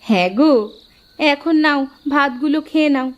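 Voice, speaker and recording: A woman's voice: sits high, normal speed, dull audio, pitched above the performer's own voice, lightly acted. A woman voicing a devoted young village wife in a cartoon, affectionate and reassuring.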